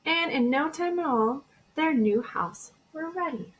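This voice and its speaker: Woman, teacher, high voice, expressive storybook narration for children. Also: measured pace, rough recording. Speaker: elementary school teacher.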